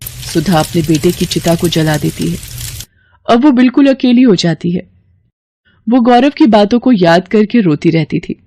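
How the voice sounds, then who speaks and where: A woman's voice: lowish voice, animated, measured pace, dark tone, slight background noise. A woman storyteller reading scripted narration for a moral bedtime story.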